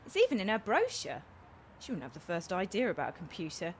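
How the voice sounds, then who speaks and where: A woman's voice: on the low side, wildly swooping pitch, fast talker, slight background noise. A woman playing a frank, kind-hearted young woman in a radio comedy, chatty and earnest as she explains herself. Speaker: actor in a radio play. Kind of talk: naturalistic radio comedy acting.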